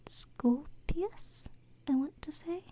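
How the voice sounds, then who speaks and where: A woman whispering softly and intimately into a close binaural microphone in an ASMR roleplay as a gentle, adoring alien princess, with hesitant pauses. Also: sits high. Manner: soft, slow, hesitant phrasing with pauses.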